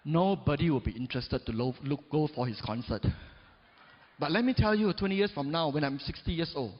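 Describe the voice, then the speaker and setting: A man in his forties giving a motivational stage speech, emphatic and often asking the crowd "right?" and "yes or no?". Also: brisk. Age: 40s. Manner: peppers talk with "right?" and "yes or no?" to the audience; emphatic, swings between loud rises and low drops. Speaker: motivational speaker. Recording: dull recording in a big reverberant hall.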